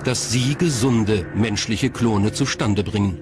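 A man's voice: fairly low pitch, slow. A man reading scripted documentary narration as the narrator.

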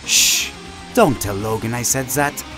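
A man playing a gentle, earnest mutant hero in an audio drama, polite and soft-hearted. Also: medium pitch, measured pace, music in the background, natural voice. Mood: tender, playful.

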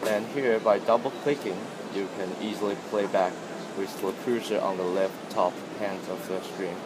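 A man's voice: on the low side, steady tone, moderate pace. A man narrating a product demonstration as its presenter. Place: indoor trade show demo room. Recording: noisy room, air-conditioning hum.

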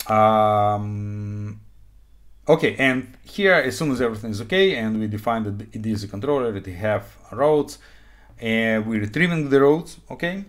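A man, an English speaker explaining code informally and haltingly as an instructor in a live tutorial. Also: on the low side. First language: not English; also speaks Hebrew, Russian and Ukrainian. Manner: halting, frequent okay check-ins, non-native phrasing. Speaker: programming instructor. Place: small indoor room, webcam screen recording.

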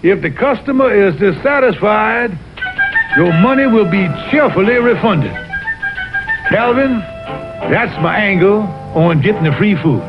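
A man's voice: high voice, lively intonation, unhurried. A man voicing a pompous, scheming Southern colonel, a folksy cartoon animal con artist, in a vintage cartoon. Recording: dull old TV cartoon soundtrack, music behind.

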